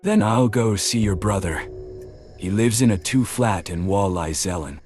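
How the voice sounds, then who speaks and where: A man's voice: lowish voice, a little slow, faint music behind, natural voice. A man voicing a cheerfully menacing enforcer. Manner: cheery tone over quiet threats, says "mate". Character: menacing jovial male enforcer, thickset hired guardian.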